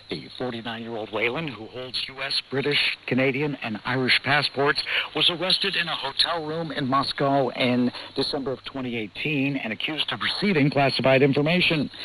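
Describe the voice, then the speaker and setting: A man's voice: mid-range voice, bright, forceful tone, slight background noise, rough recording. A man anchoring a radio news programme, reading scripted news, heard over a shortwave broadcast.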